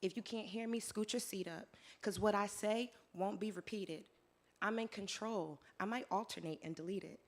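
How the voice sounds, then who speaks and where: Hoarse woman performing spoken word poetry. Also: mid-range voice, normal speed. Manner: rhythmic rhyming delivery, rising to loud declarations. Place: live venue with audience.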